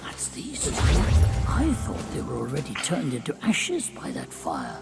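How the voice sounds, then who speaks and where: A man's voice: mid-range voice, huge pitch swings, measured pace, gravelly, over music, heavily acted. A man voicing a brooding, wry mountain spirit in a game, with a musing, dramatic delivery. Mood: musing, surprised. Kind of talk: game cutscene voice acting. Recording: echoey, long hall reverb.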